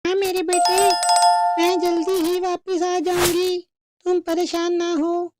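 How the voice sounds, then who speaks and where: A woman's voice: extremely high pitch, monotone, slow, over loud music. A woman voicing a watchful, caring mother bird who speaks instructively in a cartoon story. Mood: reassuring. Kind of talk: slow cartoon story dialogue.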